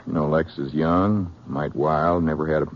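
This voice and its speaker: Casting: stern frontier sheriff, American rural drawl, very deep voice, muffled vintage radio western sound. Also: male voice, steady tone, moderate pace, a little hiss or hum. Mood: earnest, troubled. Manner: folksy rural drawl, bossy. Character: gruff, domineering older brother, small-town Old West sheriff. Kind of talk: old-time radio western acting.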